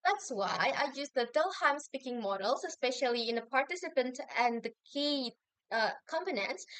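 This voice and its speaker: A young woman, a university student explaining answers in a measured way with occasional hesitant restarts. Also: high-pitched, a bit bright, dry recording, low-quality recording. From Indonesia. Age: young adult. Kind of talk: answering assignment questions on video.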